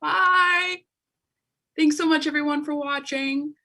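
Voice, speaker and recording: A woman's voice: sits high, fairly even intonation, slow pace, pushed and bright. A woman hosting a live online show, casually addressing viewers. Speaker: host of live table read.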